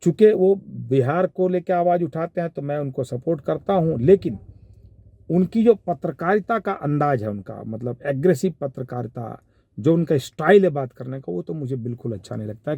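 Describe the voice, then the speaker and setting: Mellow, dark-voiced Bihari man commenting. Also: fairly high pitch, expressive, normal speed, creaky, husky, no room sound. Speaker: commentator giving his analysis of a viral call. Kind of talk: informal spoken commentary.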